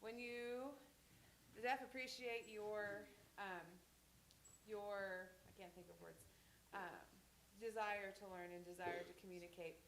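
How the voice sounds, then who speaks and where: Woman, 30s, sign language teacher, casual rambling talk, mid-pitched voice, echoey church room. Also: steady tone, unhurried, a bit bright, slight background noise. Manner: chatty, rambling with anecdotes and restarts. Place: church hall.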